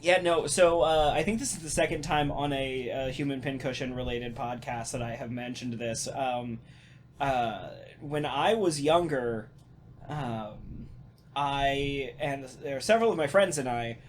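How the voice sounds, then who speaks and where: A man chatting casually as a podcast host, telling stories in an animated, halting way with casual swearing. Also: medium pitch. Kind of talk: casual podcast chat and storytelling.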